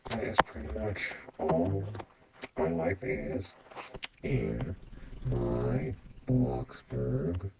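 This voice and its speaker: Robot voice changer guy doing chill game house tour, slow n gravelly, muffled lo-fi mic. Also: medium pitch. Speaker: video host. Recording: muffled, lo-fi, loud noise and clicks.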